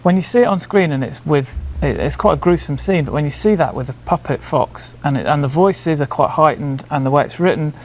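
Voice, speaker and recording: A man talks very quickly in a casual interview, his voice a little high. He restarts phrases often, with faint noise behind him. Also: expressive. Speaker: show creator being interviewed.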